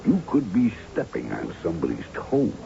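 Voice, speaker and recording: Deep-voiced, blunt American cop. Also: male voice, huge pitch swings, normal speed, vocal fry, a crowd in the background, heavily acted. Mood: resigned. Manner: blunt, colloquial. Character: gruff, cautious, world-weary male police lieutenant. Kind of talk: 1970s radio drama acting. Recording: dull, noisy old radio recording.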